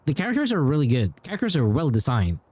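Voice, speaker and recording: A man's voice: medium pitch, huge pitch swings, fast talker, a little mellow, breathy edge, dry recording. Rambling male game streamer, dry close sound. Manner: rambling, repeats phrases, informal. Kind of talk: casual livestream chat and commentary.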